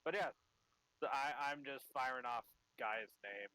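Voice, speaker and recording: A man's voice: mid-range voice, a little slow, sharp tone, rough recording. A young man chatting casually as a let's play co-host, informal and a bit hesitant in his phrasing. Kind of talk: casual chat. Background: game music.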